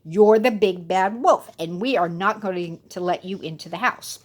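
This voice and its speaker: A woman's voice: on the low side, moderate pace, close to an everyday voice. A woman voicing young storybook goat kids in a childlike, earnest and emphatic way. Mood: wary, defiant.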